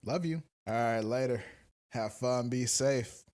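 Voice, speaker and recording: A man's voice: medium pitch, measured pace, darkish tone, pitched below the performer's own voice, close to an everyday voice. Man acting as a dramatic, insecure clingy boyfriend. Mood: feigned calm. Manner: overdramatic, insecure.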